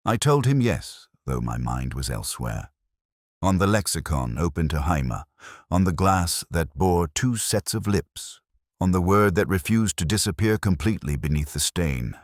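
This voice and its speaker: A middle-aged man narrating a first-person detective story in a measured, brooding, literary manner. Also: deep voice, big pitch swings, no echo, clean studio sound.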